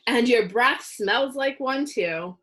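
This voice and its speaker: A woman's voice: on the high side, lively intonation, slow, a bit bright, voice pushed up. A woman voicing a bossy, teasing schoolgirl sister in a storybook, with a taunting, emphatic delivery.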